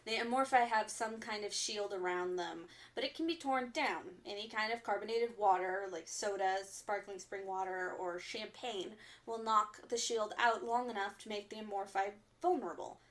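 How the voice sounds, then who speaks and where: A woman playing an earnest, stern resistance fighter who delivers solemn, deliberate warnings in a radio drama broadcast. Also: medium pitch, steady tone, a bit bright, close to an everyday voice. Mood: stern, instructive. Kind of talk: audio drama acting as underground radio broadcast.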